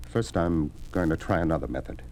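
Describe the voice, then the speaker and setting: An actor playing a reluctant, protesting farmer's son pushed into politics in a 1950s radio drama. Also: male voice, a bass voice, a little fast, a little mellow. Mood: pleading, earnest. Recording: noisy old 1950s radio recording.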